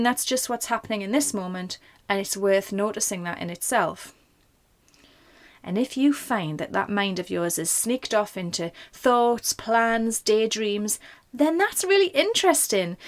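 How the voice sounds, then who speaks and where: A woman leading a guided meditation in a gentle, informal, reassuring manner. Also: mid-range voice. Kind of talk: guided body scan meditation.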